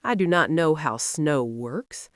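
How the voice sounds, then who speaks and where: A woman with a Nigerian accent narrating a scripted first-person folktale in a dramatic, expressive way. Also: low-pitched, slow, no echo, clean studio sound. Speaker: storyteller.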